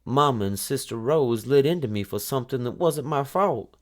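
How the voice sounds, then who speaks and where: Casting: male reader as polite, meek young girl, earnest, raised lilting pitch, children's storybook. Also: expressive, moderate pace, natural voice. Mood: tearful, afraid. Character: gentle, frightened, polite young country girl.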